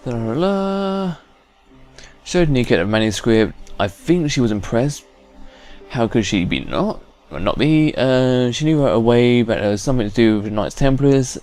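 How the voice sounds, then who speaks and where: A man's voice: medium pitch, animated, normal speed, a little mellow, soft background music. A man giving casual let's play commentary, thinking aloud and often repeating words as he goes. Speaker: gamer.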